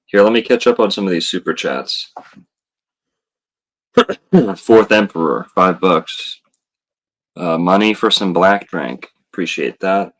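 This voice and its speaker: A man on a casual podcast, talking in a slow, rambling way with many fillers and reading out viewer donations. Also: low voice, a bit bright, low-quality recording. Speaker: podcast host reading super chats.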